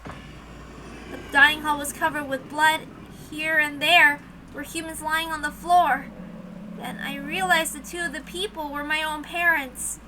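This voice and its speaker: A woman reading a visual novel aloud in an expressive, informal way, dramatic in narration and casual in asides. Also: high-pitched, a little slow, a little hiss or hum, poor audio.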